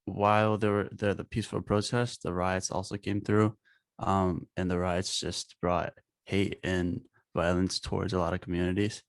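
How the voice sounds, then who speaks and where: A young man from Bangladesh, a podcast guest talking in a halting way with many fillers. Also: sits low, flat delivery, no echo. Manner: halting, filler-heavy, says like a lot. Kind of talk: casual podcast chat.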